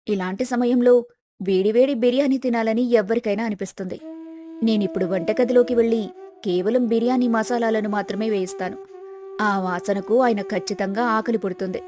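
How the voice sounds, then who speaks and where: A woman playing a clever, patient young village wife, polite and persuasive, in an animated moral story. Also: a little high, lightly acted. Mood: scheming. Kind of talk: acted moral story dialogue.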